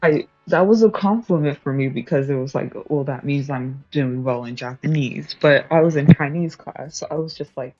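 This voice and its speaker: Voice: mid-range voice, normal speed, hardly any pauses, darkish tone, no echo, muffled recording, soft background music. A young adult streamer chatting casually while studying, rambling, correcting themselves and laughing often.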